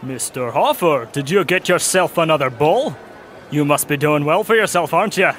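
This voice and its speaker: A man playing a gruff, businesslike medieval toolmaker in an audio drama, blunt and stern as he haggles. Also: fairly high pitch, moderate pace, a bit bright, slight background noise, natural voice. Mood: skeptical.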